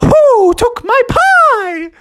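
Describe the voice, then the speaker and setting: A storyteller voicing a nosy owl in a children's story, hooting repetitive, drawn-out questions. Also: very high-pitched, big pitch swings, a bit bright, no echo, studio quality, pitched above the performer's own voice, exaggerated voice. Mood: indignant.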